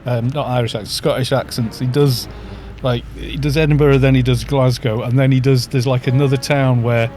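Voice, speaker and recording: North Welsh man, 50s, rambling streamer chat, middle pitch, faint music bed. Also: steady tone, moderate pace, few pauses, darkish tone. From North Wales. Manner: chatty, rambling, chuckles often, reads chat aloud. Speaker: streamer leading a game group. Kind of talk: casual live-stream chat while gaming.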